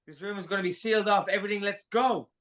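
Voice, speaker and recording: A man's voice: sits high, fast, a bit bright. An actor playing an urgent man who leads a group out of danger in a thriller table read. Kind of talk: live dramatic table read.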